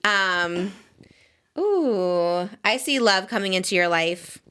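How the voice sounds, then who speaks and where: A woman's voice: medium pitch, expressive, slow pace, bright, forceful tone, clean tone. A woman in her thirties hosting a podcast, chatting in a bubbly, giggly way with many fillers. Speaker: podcast host. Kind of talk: casual chat.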